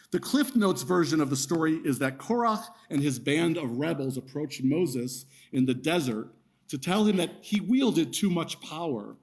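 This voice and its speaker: Husky-voiced male rabbi giving a sermon. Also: on the high side. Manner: warm storytelling with wry asides to the congregation. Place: synagogue sanctuary.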